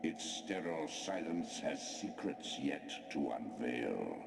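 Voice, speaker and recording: Deep, echoing ancient otherworldly guide. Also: male voice, sing-song, vocal fry, background noise, full character voice. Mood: solemn, portentous. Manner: slow, portentous, formal. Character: ancient, solemn otherworldly guide, grave and all-knowing. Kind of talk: scripted video game narration. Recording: echoey, with loud background ambience.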